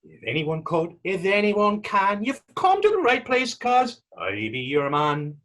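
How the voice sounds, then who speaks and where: A man playing Tommy, a talkative, gentle and wry Irish former fisherman, in staged readers theater dialogue with a folksy, halting storytelling lilt. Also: sits high, wildly swooping pitch, heavily acted. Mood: curious, cautious.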